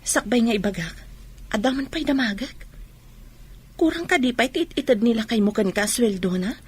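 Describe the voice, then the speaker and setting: A high-voiced confiding gossip. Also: female voice, expressive, moderate pace, husky. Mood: confiding, probing. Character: gossipy woman confiding in her friend. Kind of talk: Ilocano radio drama acting.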